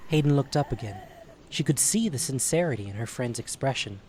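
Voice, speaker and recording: A man's voice: medium pitch, animated, a little fast, darkish tone, slight background noise, poor audio. A man narrating a scripted story as the narrator of an audio drama.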